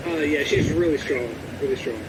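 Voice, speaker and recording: A man's voice: fairly high pitch, normal speed. A man hosting a casual gaming chat in an easygoing, encouraging way. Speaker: host. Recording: clearly noisy background.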